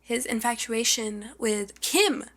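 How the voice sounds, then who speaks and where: A young woman co-hosting a podcast in a casual, gossipy chat, animated and slangy, swearing freely. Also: on the high side, slow pace. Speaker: podcast co-host.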